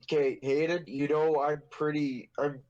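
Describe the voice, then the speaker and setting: A man's voice: a little high, level tone, measured pace, darkish tone, lo-fi sound. A young man hosting a casual podcast, telling a story in a rambling, profane, joking way. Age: young adult. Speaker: podcast host.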